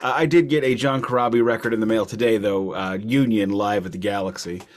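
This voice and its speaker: A man hosting a podcast, chatting casually about music in a wry way, leaning deliberately on key words. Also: on the low side, fairly even intonation. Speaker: podcast host.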